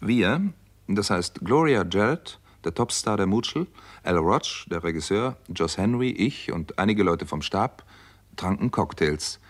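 An actor playing a composed, resolute doctor who narrates a radio crime story in a measured, matter-of-fact way. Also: male voice, on the low side, expressive. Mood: measured narration. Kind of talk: scripted first-person crime drama narration.

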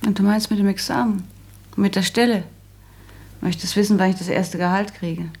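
A young widow in a radio play, proud and sensible. Her voice is very husky with a lot of creak. She answers drily and firmly, with gentle reproof. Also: mid-range voice, fairly even intonation, moderate pace. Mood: patient, knowing. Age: young adult. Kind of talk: German radio play acting.

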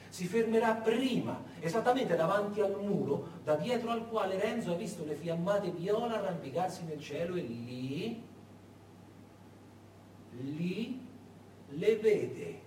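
A man performing a dramatic theatrical monologue, emphatic and deliberate, repeating words and leaving heavy pauses. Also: high voice, expressive, darkish tone. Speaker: solo stage storyteller. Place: echoey performance space, far from the mic. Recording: echoey, noisy, distant stage recording.

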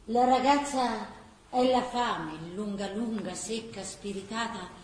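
A woman's voice: mid-range voice, slow, darkish tone, natural voice. A woman narrating a radio play theatrically, in vivid, clipped descriptive phrases. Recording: roomy recording with faint background noise.

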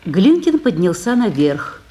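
A woman gives scripted narration of a play's action. Her voice is mellow and husky, at a medium pitch. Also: very animated, measured pace. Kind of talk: scripted narration of stage directions.

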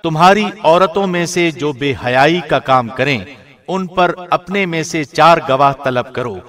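Slightly high-voiced man, formal scripture reader. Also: a bit bright. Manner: measured, formal broadcast delivery. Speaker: translation reader, radio host.

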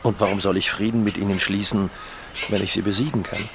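An actor playing a defiant, self-assured drug cartel boss in a German radio docudrama, with a threatening, declamatory delivery. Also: male voice, on the low side, fairly even intonation, fast, vocal fry, noisy background. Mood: cold, confident. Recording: voice over prominent guitar music. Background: guitar music.

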